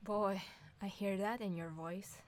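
A woman playing a sharp-tongued, bossy wife and mother who teases bluntly in a scripted audio comedy drama. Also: mid-range voice, fairly even intonation, a little slow, dry recording, natural voice. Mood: teasing. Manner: sarcastic, bantering, blunt.

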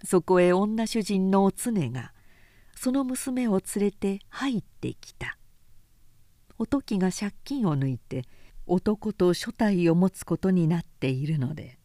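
A woman reading literary fiction aloud as a narrator, in a measured, composed and articulate way. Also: medium pitch, expressive, mellow, dry recording, studio quality. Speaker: narrator, reader.

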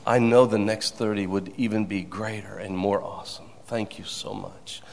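Gravelly older guy sharing a testimony, kinda low, echoey church hall. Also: fairly even intonation, normal speed. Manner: earnest testimony, frequent "amen" and "hallelujah". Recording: reverberant hall.

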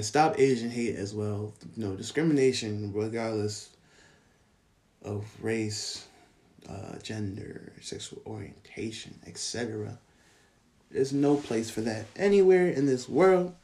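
A man's voice: on the low side, warm tone. A young man co-hosting a podcast, chatting casually in slang and laughing often. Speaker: podcast co-host.

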